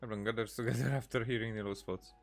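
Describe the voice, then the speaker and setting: A man giving casual playthrough commentary in a halting, musing way, close on the microphone. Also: lowish voice, steady tone, soft background music. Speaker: gamer, let's player. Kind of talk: casual game playthrough commentary, reading dialogue choices.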